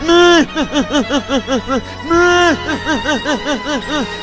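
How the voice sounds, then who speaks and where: A man's voice: very high-pitched, lively intonation, music in the background, a crowd in the background, heavily acted. A man voicing a megalomaniac villain ruler in an anime parody dub, with a gloating, grandiose delivery. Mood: gloating, triumphant. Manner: grandiose, drawn-out, cackling. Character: gloating, megalomaniac male pope villain of a sanctuary.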